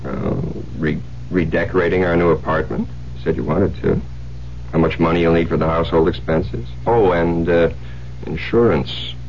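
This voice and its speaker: An actor playing a sardonic, smoothly probing psychiatrist husband in a 1970s radio drama, teasing and insinuating. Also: male voice, deep voice, fairly even intonation, moderate pace, a little mellow. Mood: casual, insinuating. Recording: muffled, noisy 1970s radio recording.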